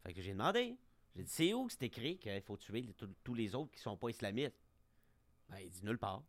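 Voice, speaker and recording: Homme québécois, ton appuyé et argumentateur. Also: male voice, fairly low pitch, very animated, fairly quick, no room sound. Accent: Québécois French. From Québec. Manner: emphatic, argumentative, repeats words. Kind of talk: opinionated talk radio chat.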